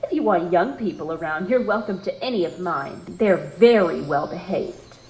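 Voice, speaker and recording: A narrator voicing a shrill, bossy middle-aged woman with a high, grating voice who scolds and accuses. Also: expressive, normal speed, darkish tone, a little hiss or hum, lightly acted, low-quality recording. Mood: scolding.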